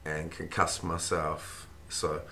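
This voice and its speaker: A man with a croaky, run-down voice, rambling casually through fight picks with plenty of swearing. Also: deep voice, flat delivery, takes it slowly, bright, forceful tone, faint music behind. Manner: rambling, swears freely, thinks aloud with ums. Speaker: fight-pick vlogger. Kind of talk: casual fight predictions monologue.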